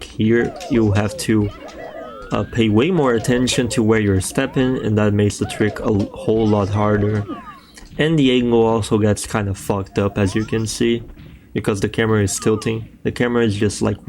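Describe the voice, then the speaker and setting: A man's voice: lowish voice, a little hiss or hum. A young Brazilian man with a Brazilian Portuguese accent, a native Portuguese speaker, casually talking through a game speedrun tutorial and swearing now and then. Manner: relaxed, loose, swears casually, frequent fillers. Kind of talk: casual gameplay tutorial voice-over.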